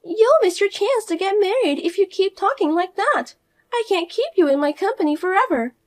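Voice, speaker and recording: A woman voicing a spoiled, arrogant sister-in-law who talks down to everyone in a shrill, scolding way. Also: lively intonation, moderate pace. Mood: indignant. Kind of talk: audio story voice acting.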